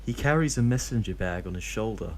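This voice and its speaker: A man reading fan fiction aloud in a steady, plain way, breaking off now and then for dry joking asides. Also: lowish voice, quick pace.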